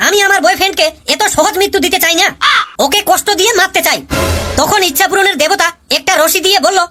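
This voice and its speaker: A woman's voice: very high voice, quick pace, over music. A woman voicing a cheeky married male pupil in a cartoon, telling joke stories about his wife to the teacher. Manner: chatty storytelling, punchline delivery. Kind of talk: cartoon comedy voice acting.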